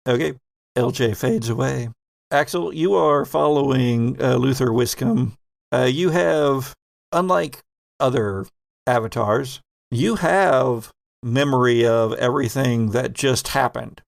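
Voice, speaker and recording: A man with a gravelly, creaky voice works as a tabletop game master, recorded close and very dry. He improvises the story conversationally, speaking straight to his players. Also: mid-range voice, slow pace, no room sound. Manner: conversational narration, addresses players directly.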